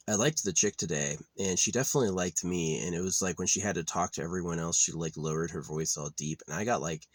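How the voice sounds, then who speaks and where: Young man, very low voice, meandering casual delivery, dry close sound. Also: vocal fry, no room sound. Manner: meandering, offhand, trailing off with filler. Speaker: vlogger. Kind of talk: rambling casual monologue. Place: outdoors at a quiet wooded campsite.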